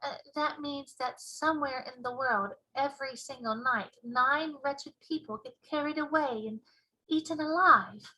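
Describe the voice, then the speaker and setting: A woman's voice: sits high, measured pace, sharp tone, rough recording. A woman voicing a curious, polite young girl in a children's storybook, earnest and easily horrified. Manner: earnest questioning. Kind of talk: children's storybook read-aloud.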